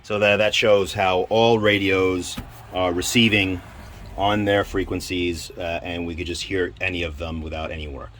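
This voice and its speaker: A man giving an informal hands-on equipment demonstration, halting and thinking aloud as he goes. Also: low voice, fairly even intonation, fairly bright tone. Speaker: presenter demonstrating radio equipment. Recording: clear background noise.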